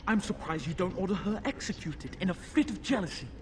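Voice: mid-range voice, very animated, moderate pace, slight background noise, close to an everyday voice. A performer playing a demon-possessed young boy in a fantasy game, haughty, mocking and commanding. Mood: scornful.

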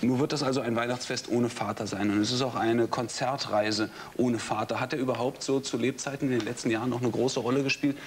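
A man's voice: medium pitch, steady tone, fairly quick, few pauses, a little hiss or hum. A man in his thirties hosting a TV talk show, asking questions and murmuring acknowledgments. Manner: attentive, with frequent murmured acknowledgments. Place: TV studio with audience.

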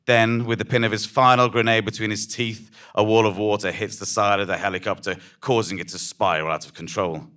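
Low-voiced man narrating dramatically. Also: fairly even intonation, brisk, hardly any pauses, fairly bright tone. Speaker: storyteller reading a story live on stage.

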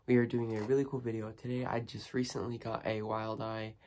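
A teenage boy with a cold, hoarse, chatting casually with many ums and restarts while unboxing movies in his bedroom. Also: lowish voice, little pitch movement, a little fast, darkish tone, a little hiss or hum. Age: teens. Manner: rambling, lots of ums and restarts. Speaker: horror movie vlogger. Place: small bedroom studio with shelves.

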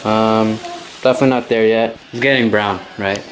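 A man's voice: on the low side, fairly even intonation, moderate pace. Laid-back man in an echoey kitchen. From Central America. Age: 20s. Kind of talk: casual chat. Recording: echoey room with noise.

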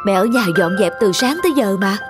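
A woman voicing a worried young mother in a cartoon, gentle and anxious, over loud music. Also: mid-range voice, expressive, fairly quick, lightly acted. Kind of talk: cartoon dubbing. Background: loud background music.

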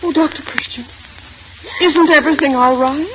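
A woman's voice: sits high, moderate pace, natural voice. An actress playing an anxious, flustered mother of a teenager in a 1940s radio drama. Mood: pleading, flustered. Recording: muffled 1940s radio recording, faint noise.

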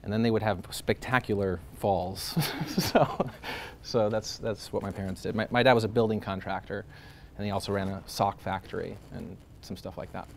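A man's voice: on the low side, steady tone, moderate pace, creaky, a little hiss or hum. A man in his thirties, born in Greece, talking casually in an interview with lots of fillers, restarts and laughs. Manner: rambling, many fillers and restarts, laughs often.

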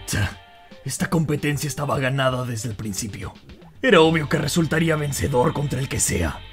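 A man playing an arrogant, explosive teenage hothead in an anime fandub, with a Latin American accent and a gravelly, forceful voice. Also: mid-range voice, moderate pace, faint music behind, lightly acted. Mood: scornful. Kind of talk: anime comic fandub acting.

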